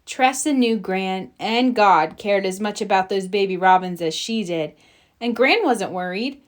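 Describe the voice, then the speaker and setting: A woman's voice: mid-range voice, measured pace. A woman narrating a scripted children's story as a read-aloud.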